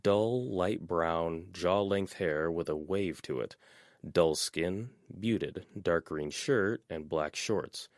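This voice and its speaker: A man narrating a fan audiobook chapter in an expressive, measured reading style. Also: deep voice, dry recording, studio quality. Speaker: audiobook reader.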